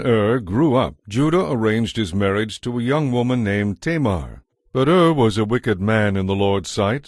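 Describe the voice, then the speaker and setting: A man's voice: lowish voice, a little mellow, no room sound, studio quality. A man giving a scripted narration as the narrator of a dramatized audio Bible.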